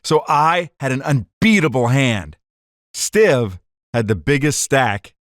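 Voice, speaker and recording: Man acting a hot-headed gangster, animated delivery. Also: medium pitch, slow, no room sound, studio quality. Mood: narrating, bitter. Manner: animated slangy storytelling. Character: hot-headed card-cheating gangster recounting a grudge. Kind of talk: 1940s gangster radio drama acting.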